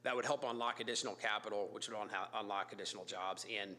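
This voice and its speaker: A man with a raspy voice from a cold, a company executive making a casual, filler-heavy pitch to a city council. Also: steady tone, sharp tone. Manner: informal, fillers and restarts, brisk business pitch. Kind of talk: public comment at a council meeting. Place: council chamber.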